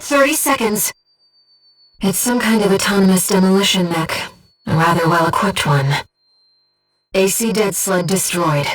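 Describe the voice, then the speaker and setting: Game casting: gentle bodiless female operator guiding a pilot, composed, earnest, mid-pitched, music underneath. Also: far from the mic. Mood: urgent, alarmed, then composed, focused. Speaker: mission support operator. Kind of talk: video game mission voice lines.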